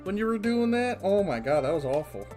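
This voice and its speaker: A man's voice: high-pitched, very animated, brisk, a little mellow, faint music behind. A man bantering crudely with co-hosts while reading out chat messages. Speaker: streamer. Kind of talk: casual livestream chat.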